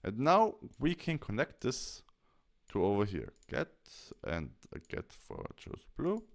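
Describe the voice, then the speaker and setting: A man presenting a software tutorial, explaining each step as he goes. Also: lowish voice, wildly swooping pitch, slow.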